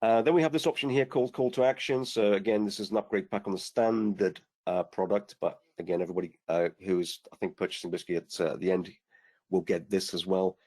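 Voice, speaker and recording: A man with a lowish, slightly hoarse voice talks through a software demo over a video call. He explains patiently, filling gaps with "uh" and "um" and restarting his sentences. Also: fast talker. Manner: relaxed explaining, many fillers and restarts. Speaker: product demonstrator on a webinar. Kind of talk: screen-share software demo.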